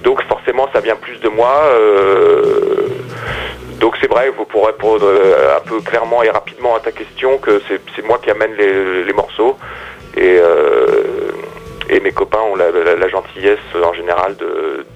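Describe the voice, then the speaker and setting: A man's voice: lowish voice, unhurried. A French-speaking singer and guitarist, a man, answering radio interview questions with many fillers and restarts. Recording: dull lo-fi radio sound.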